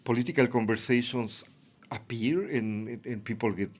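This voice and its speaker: A man's voice: medium pitch, fairly even intonation, normal speed. A man from Ecuador, a native Spanish speaker with an Ecuadorian accent, giving reflective interview answers as an academic guest.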